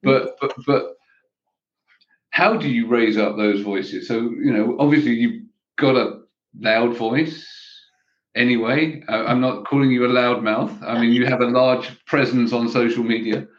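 A man co-hosting a podcast, speaking in a measured, thoughtful way and often restarting his sentences. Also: mid-range voice, steady tone. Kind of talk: conversational podcast talk. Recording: echoey, dull lo-fi audio.

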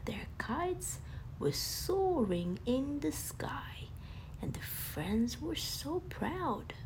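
A woman narrating a scripted bedtime story for children in a gentle, deliberate manner. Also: on the high side, a little hiss or hum, rough recording.

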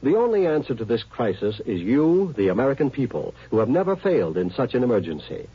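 A man delivers an earnest, formal announcement in 1950s radio style. His voice is very rough-edged and moves widely in pitch. The vintage recording sounds muffled. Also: sing-song, quick pace. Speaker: public service announcer.

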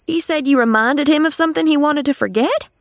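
Woman voicing an excitable, gossipy rustic housemaid, high-pitched. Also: measured pace, no room sound. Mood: awestruck, eager. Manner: dramatic, folksy, ungrammatical dialect, repeats herself. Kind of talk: audiobook character voice.

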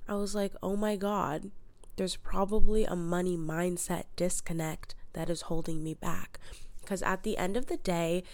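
A woman's voice: fairly low pitch, steady tone, normal speed, darkish tone, no echo. Congested woman coaching, stuffy nose. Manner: upbeat, motivational coaching delivery. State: congested with a head cold. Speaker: podcast host and mindset coach. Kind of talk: solo podcast monologue.

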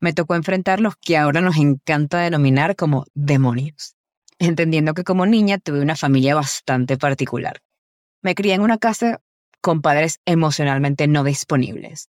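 A woman in her twenties with a Venezuelan accent, hosting a podcast in a scripted, personal narration with articulate, deliberate phrasing. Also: sits low, a little mellow, no echo, clean studio sound. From Caracas, Venezuela. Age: 20s. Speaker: podcast host.

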